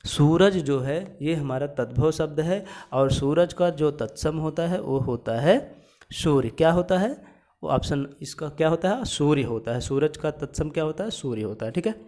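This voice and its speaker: A man's voice: a little high, expressive, normal speed, mellow. A male teacher going through exam questions step by step, asking questions and answering them himself, and repeating each answer. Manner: explains step by step, repeats answers, asks and answers his own questions. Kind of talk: exam-prep lesson.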